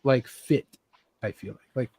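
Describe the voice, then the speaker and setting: A man's voice: mid-range voice, slow pace, dark tone, breathy edge, no echo. A man co-hosting a casual podcast chat, conversational and a little hesitant, with some swearing. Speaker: podcast co-host. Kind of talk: casual chat about movies and games.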